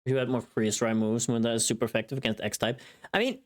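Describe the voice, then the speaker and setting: A streamer with a medium-pitched voice chats casually, rambling and thinking aloud. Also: steady tone, fast. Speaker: live streamer. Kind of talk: casual livestream chat.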